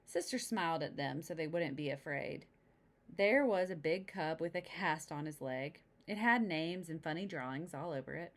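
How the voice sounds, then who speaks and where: A woman reading a picture book to young children, pausing to explain the pictures in simple, chatty asides. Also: sits low, expressive. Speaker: storybook reader.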